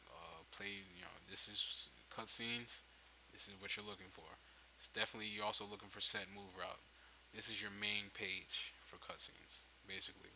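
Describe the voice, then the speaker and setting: Male tutorial host, very bright and forceful tone, unscripted and halting, faint hiss on the recording. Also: lowish voice, rough recording. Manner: halting, repeats and restarts phrases. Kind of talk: casual software tutorial, off the cuff. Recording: hissy home microphone recording. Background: steady hiss.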